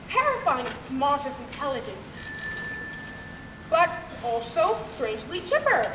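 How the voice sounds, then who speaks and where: Young woman as an excitable, high-voiced radio reporter. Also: a little slow. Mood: awed, alarmed. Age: young adult. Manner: breathlessly dramatic, hesitant when flustered. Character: earnest, excitable, flustered radio news reporter. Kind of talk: comic old-time radio broadcast acting. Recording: distant, echoey stage, noisy room.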